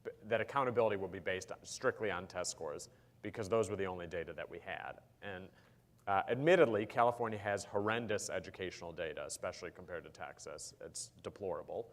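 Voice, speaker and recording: A man on a panel, explaining policy in a measured way with frequent ums and uhs. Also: low voice. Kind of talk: panel discussion answer.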